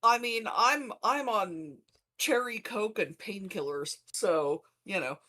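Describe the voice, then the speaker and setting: A woman's voice: medium pitch, lively intonation, slow pace, pushed and bright. A woman chatting casually as a player in a tabletop game. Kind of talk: casual chat.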